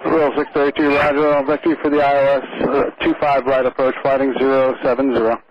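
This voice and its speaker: A man working as an air traffic controller, giving calm, reassuring procedural instructions over the radio. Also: medium pitch, fast, dull audio.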